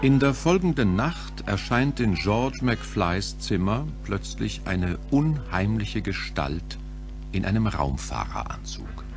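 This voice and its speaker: A man's voice: fairly low pitch, sing-song, takes it slowly, over music, full character voice. A man narrating an audio drama in a scripted storytelling style.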